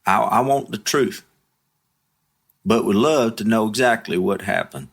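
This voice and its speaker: A young man, speaking in an interview, halting and repeating himself but blunt and emphatic. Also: on the low side, vocal fry. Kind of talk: candid interview.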